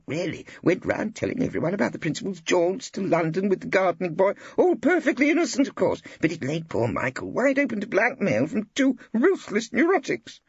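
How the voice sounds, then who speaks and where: A man voicing an excitable, spiteful, gossipy male academic with a squawking voice, in an audiobook. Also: sits high, gravelly, husky, no echo, muffled recording, voice pushed up, full character voice. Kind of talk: audiobook character acting.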